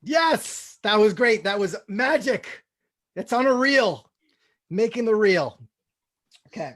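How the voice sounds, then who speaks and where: Man hosting a comedy table read, higher-pitched. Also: lively intonation, takes it slowly, a bit bright. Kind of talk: casual hosting with scripted stage-direction narration.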